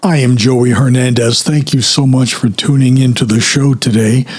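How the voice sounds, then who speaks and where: A man hosting a podcast, telling an unscripted story in a chatty, descriptive way, with a scratchy, raspy throat today. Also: mid-range voice, steady tone, normal speed, darkish tone. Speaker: podcast host.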